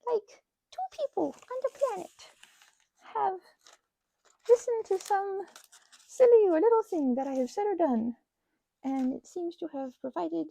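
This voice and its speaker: A woman rambling casually as she crafts, talking in a gushing, halting way and often interrupting herself. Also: extremely high pitch, gravelly, dark tone, dry recording, poor audio. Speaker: hobby ASMR and roleplay audio creator.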